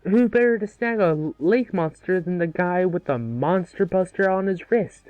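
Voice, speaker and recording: A man's voice: high voice, moderate pace, dry recording, narrow, tinny audio, faint music behind, lightly acted. A man reading the part of a cocky, stubborn young boy hero in an amateur cartoon table read. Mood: smug.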